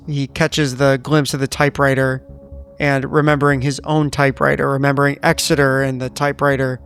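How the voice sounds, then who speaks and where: Male tabletop player, mid pitch, flat delivery, earnest measured character narration over soft music. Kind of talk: narrating and speaking for his character.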